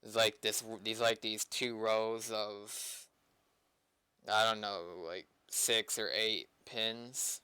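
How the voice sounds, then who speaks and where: Bright, crisp-voiced man chatting casually. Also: fairly low pitch, little pitch movement, no echo. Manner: halting, rambling, sweary. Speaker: streamer doing game development. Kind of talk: casual unscripted stream chat.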